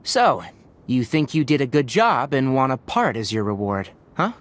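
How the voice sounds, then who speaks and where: A man's voice: mid-range voice, sing-song, normal speed, slight background noise, lightly acted. A man voicing a young forest healer in a video game, clinical, matter-of-fact and dryly kind, with thoughtful hums. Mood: wry, fond.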